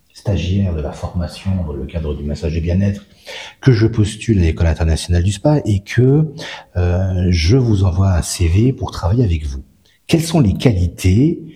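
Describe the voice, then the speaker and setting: A man hosts a casual podcast, putting long, carefully framed questions with plenty of fillers. His voice is very low, with a dark, warm tone. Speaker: podcast host for a spa school.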